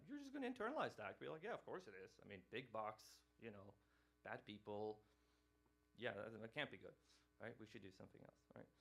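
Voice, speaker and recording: A man from Norway speaks as he lectures a live audience. His voice sits in an ordinary middle pitch. He talks casually, tosses questions to the room and ends many points with "right?". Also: creaky, a bit bright. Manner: conversational, keeps asking "right?" and questioning the audience.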